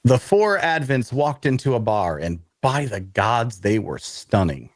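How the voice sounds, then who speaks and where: An actor playing an awkward, bookish loner adventurer who narrates an audio drama in a wry way. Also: male voice, mid-range voice, sing-song, unhurried, no room sound, clean studio sound. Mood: wry narrating. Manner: wry, hesitant in conversation. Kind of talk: first-person narration and dialogue in audio drama.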